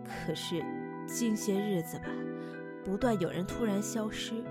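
A woman's voice: medium pitch, a little slow, close to an everyday voice. A woman portrays a timid, grieving townswoman in a fantasy radio play. Her mid-range voice is earnest and hesitant. Prominent music runs underneath. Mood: earnest, troubled. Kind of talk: Chinese fantasy radio drama acting. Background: background music.

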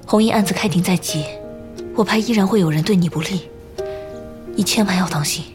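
An actress playing a guarded, haunted and quietly determined woman in a modern audio drama. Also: on the low side, brisk, close to an everyday voice. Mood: cool, resolute. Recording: voice under prominent background music. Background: music.

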